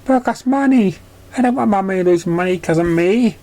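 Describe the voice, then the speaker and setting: A sad little girl pony, acted by a man, speaks in a raised, high pitch. The storybook character is childish, plaintive and easily hurt. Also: a little fast, mellow. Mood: sad, worried.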